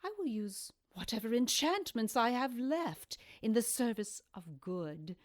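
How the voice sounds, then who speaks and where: A cursed snake-woman monster in an audio drama. Her voice is fairly high and breathy, with hissing emphasis. She speaks slowly, in solemn, formal phrases full of old anguish. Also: big pitch swings.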